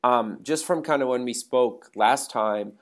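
A man's voice: mid-range voice, unhurried. A man interviewing a guest in loose, informal phrasing. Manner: loose and informal, says "kinda" often. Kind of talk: casual interview chat.

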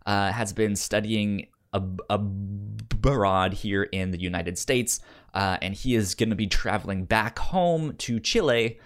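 Low-voiced man, stammering podcast host. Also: slow. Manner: stammering, repeats syllables and words. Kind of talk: casual podcast chat.

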